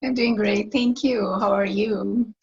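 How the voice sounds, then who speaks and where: A woman from Iran, a nurse, being interviewed over a video call and answering slowly and thoughtfully, with pauses and fillers. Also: mid-range voice, fairly even intonation, vocal fry, a little mellow, muffled recording.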